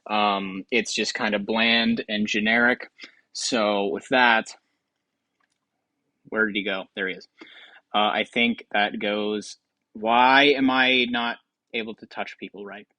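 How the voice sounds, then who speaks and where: A man's voice: fairly low pitch, steady tone, takes it slowly, long pauses, bright, forceful tone, no echo. Casual, dry-sounding male gaming commentator. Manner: hesitant, rambling thinking aloud. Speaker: video host.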